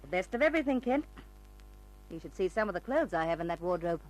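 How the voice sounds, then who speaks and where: A woman's voice: lowish voice, big pitch swings, quick pace, rough recording. An actress playing a brash, slangy, eccentric woman with a shrewd side in an old-time radio drama. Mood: cheerful, proud. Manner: slangy, bold, theatrical. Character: brash eccentric older woman, street character secretly shrewd and refined. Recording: old radio recording with music.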